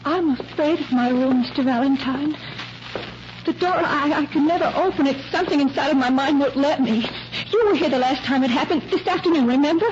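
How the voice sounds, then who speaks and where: An actress as a high-voiced, fragile young woman close to breakdown. She speaks in halting, trembling repeats, in the manner of old radio mystery, on a muffled, hissy recording. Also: darkish tone, lightly acted. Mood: dazed, fearful. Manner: tremulous, halting, repeating herself. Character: frightened, morbid, emotional young woman on the edge of breakdown. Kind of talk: 1940s radio mystery acting.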